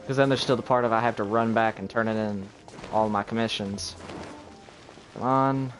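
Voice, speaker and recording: A man's voice: fairly low pitch, steady tone, moderate pace. A man casually commentating as he streams a game, chatting and muttering to himself. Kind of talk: casual live gameplay commentary. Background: video game music.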